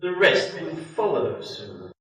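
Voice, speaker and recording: A man's voice: on the high side, big pitch swings, slow pace, fairly bright tone, exaggerated voice. A man playing a dutiful, deferential monk of a cult in an amateur science-fiction radio play. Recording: heavily echoing, distant from the mic.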